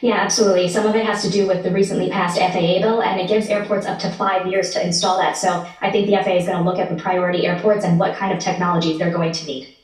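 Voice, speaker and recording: A heavily echoing, slightly distant recording of a woman reporter with a lowish voice. She explains things conversationally, as a guest on a live news interview. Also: level tone, fast talker. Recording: very echoey, distant from the mic.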